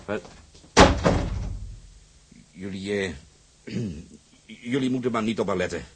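Deep, very husky old skipper. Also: male voice, slow pace. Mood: gruff, businesslike. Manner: gruff, hesitant, throat-clearing authority. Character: commanding old skipper, shrewd but generous boat owner. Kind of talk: Dutch period drama acting.

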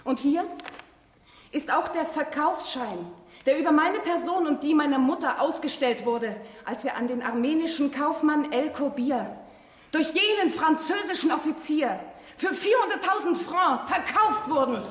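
An actress playing a young noblewoman, a grave and resolute witness, speaking in measured formal phrases in a radio drama. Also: high voice, close to an everyday voice. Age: young adult. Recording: echoey hall with long reverb.

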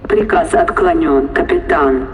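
An obedient robot from a science fiction audio drama. Its voice is fairly high and swings in pitch, yet stays formal and measured. It rings out in a long, hall-like reverb. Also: male voice, animated, distant mic, background noise. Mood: formal, impassive.

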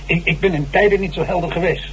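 An actor playing an ageing, self-dramatising stage actor jailed for murder, halting and theatrical, in a radio drama. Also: male voice, high-pitched, steady tone, a bit bright, low-quality recording. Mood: indignant, agitated. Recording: hissy old radio recording.

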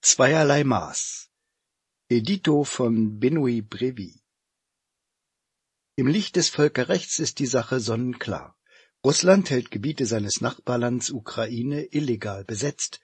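A man with a husky voice giving a scripted narration of an editorial. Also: mid-range voice, darkish tone, no room sound.

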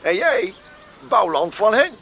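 High-pitched, excitable farmer. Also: male voice, slow pace, highs cut off, heavily acted. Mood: earnest pleading. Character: eager, hearty farmer, plain-spoken and impulsive. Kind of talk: Dutch radio play acting. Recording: noisy radio play recording.

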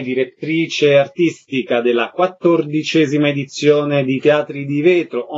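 Male radio presenter, slightly high register, announcing and interviewing. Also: highs cut off. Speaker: radio host.

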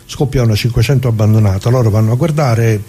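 A man's voice: medium pitch, quick pace, dark tone, a little hiss or hum, natural voice. An actor playing a chatty, good-natured but indignant retired old man in a radio play, talking in a colloquial, rambling way straight to listeners. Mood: indignant, pitying. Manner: colloquial, rambling, addresses listeners, repeats himself. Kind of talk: dramatic monologue in radio play.

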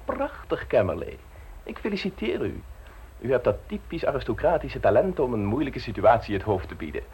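An actor playing a suave, insolent blackmailer in a radio play, mockingly polite and smoothly domineering. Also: male voice, mid-range voice, very animated, brisk, darkish tone, a little hiss or hum, natural voice. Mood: smug, commanding. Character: suave, insolent male blackmailer installing himself as private secretary.

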